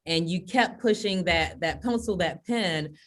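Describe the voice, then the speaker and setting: A woman's voice: on the low side, a little slow. A woman hosting an interview, speaking to her guest and to an audience she calls students in a friendly way. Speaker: host. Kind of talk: interview host chat.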